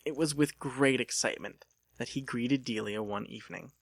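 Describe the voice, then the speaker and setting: A man's voice: mid-range voice, moderate pace, gravelly, husky, no echo. A man reading an audiobook as a dramatic scripted narration.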